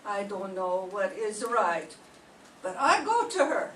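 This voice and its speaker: An older woman playing a poor, frightened immigrant mother in a radio drama, pleading in halting broken English. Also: mid-range voice, very animated, fairly bright tone. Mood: defiant. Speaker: amateur radio drama performer. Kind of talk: live reading of a 1940s radio drama. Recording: echoey room, distant mic, faint noise.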